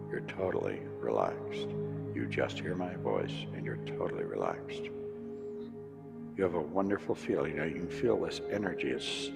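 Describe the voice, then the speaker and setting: A man with a low, gravelly voice leads a guided hypnosis in slow, repeated commands. His voice rings out with a long reverb. Clear music plays underneath. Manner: slow, repetitive soothing commands.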